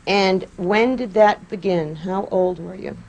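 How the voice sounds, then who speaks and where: Female courtroom lawyer, medium pitch, probing and precise examination. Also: a little slow, a little mellow, highs cut off. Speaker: lawyer questioning a witness.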